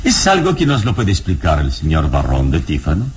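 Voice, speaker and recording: A man playing a calm, wise, courteous adventurer hero in a radio serial, speaking in a composed, measured way. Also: very deep voice, a little hiss or hum, heavily acted. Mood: grave, polite. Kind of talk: Spanish-language radio serial acting.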